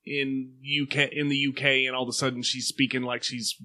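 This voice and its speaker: A man's voice: mid-range voice, little pitch movement, a little fast, sharp tone. A man co-hosting a casual podcast, trading wry and teasing banter. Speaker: podcast co-host.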